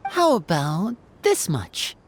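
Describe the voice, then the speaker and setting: A woman's voice: medium pitch, huge pitch swings, takes it slowly, husky, pitched below the performer's own voice, heavily acted. A woman voicing a courteous, earnest adventurer in a tabletop fantasy game, formal and chivalrous.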